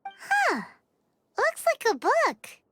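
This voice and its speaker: Squeaky, excitable tiny fairy girl. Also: very slow, noisy background, exaggerated voice. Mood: eager. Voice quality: squeaky, childlike. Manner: bubbly, exclamatory, refers to self by name. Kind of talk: anime game voice acting. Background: game music.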